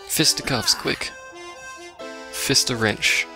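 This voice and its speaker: A man's voice: mid-range voice, takes it slowly, vocal fry, music in the background. A man giving casual, dry and sarcastic commentary on a video game he is playing. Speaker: let's play commentator.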